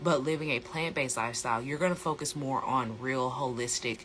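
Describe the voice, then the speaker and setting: An American lifestyle coach speaks in a bright, forceful voice with a slight hoarseness. The delivery is chatty and emphatic, like a casual explainer vlog, with phrases repeated for stress. A faint background noise sits behind the voice. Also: medium pitch, fairly quick. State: slightly hoarse from a sore throat. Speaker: host, plant-based lifestyle coach. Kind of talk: casual educational vlog talk.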